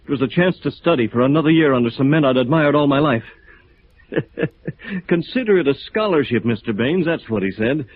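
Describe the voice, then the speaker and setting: An actor with an American accent playing a battered, bitter architect in 1950s radio drama, halting and weary. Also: male voice, mid-range voice, expressive, no room sound, lightly acted. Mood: weary, ironic. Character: battered, bitter, dazed local architect, a beaten man. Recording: muffled narrow-band vintage radio recording.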